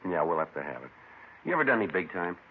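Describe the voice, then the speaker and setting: An actor playing a laconic, even-tempered police detective in a 1950s radio drama, clipped and matter-of-fact. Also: male voice, deep voice, rapid-fire. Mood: calm, terse. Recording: muffled, noisy 1950s radio recording.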